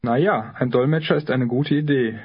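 A man of medium pitch reads scripted dialogue lines for a language lesson with clear, deliberate phrasing. His voice is husky, and the recording sounds dull and lo-fi.

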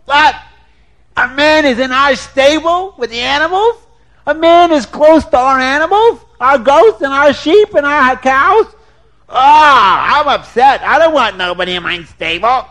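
A short-tempered brute from a storybook bellows his outrage, voiced by a male storyteller. His voice stays high and lively as he blusters. He fumes at anyone who intrudes. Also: slow, exaggerated voice. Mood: indignant. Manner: blustering, indignant. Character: gruff, short-tempered man of the house.

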